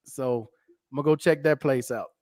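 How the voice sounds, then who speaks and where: A man's voice: medium pitch, normal speed, a little mellow. A man in a casual podcast chat, talking in a laid-back, slangy way and calling his friend bro and dude.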